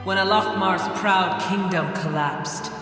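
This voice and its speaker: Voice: medium pitch, slow. An actor playing an ancient, vengeful dragon in a video game, proud, weary and scornful, speaking solemnly and grandly through a monstrous reverb. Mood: bitter, anguished. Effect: cavernous monster reverb. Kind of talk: scripted game dialogue, epic monologue. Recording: long echoing reverb, faint music behind.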